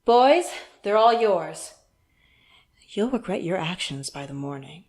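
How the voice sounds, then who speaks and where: A woman's voice: lowish voice, very animated, exaggerated voice. A woman playing an elegant, condescending villain interrogator in an audio roleplay, speaking in a theatrical, mock-polite, taunting way. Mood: offended, menacing. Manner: slow, theatrical, mock-polite, taunting, drops into hushed asides. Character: elegant, wealthy villain boss, coolly menacing and condescending interrogator. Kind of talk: audio roleplay, scripted monologue to the listener.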